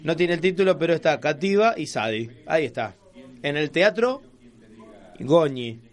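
A man's voice: a little high, animated, normal speed, crowd noise. A man with an Argentine Rioplatense accent, chatting casually as host of a live radio magazine show.

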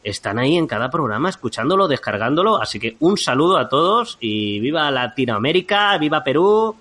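A man hosting a podcast who jokes easily with his guest and fills the pauses with short murmurs of agreement. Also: fairly high pitch, very animated, fairly bright tone. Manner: jokey, frequent short backchannels. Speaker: podcast host. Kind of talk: casual interview chat.

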